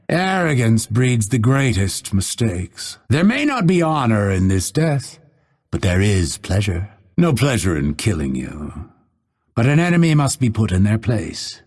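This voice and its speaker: An actor playing a proud, cold, vengeful assassin in a video game, with a husky voice and measured, haughty, menacing delivery. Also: male voice, fairly low pitch, darkish tone, heavily acted. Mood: cold contempt. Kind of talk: scripted game voice lines, theatrical delivery.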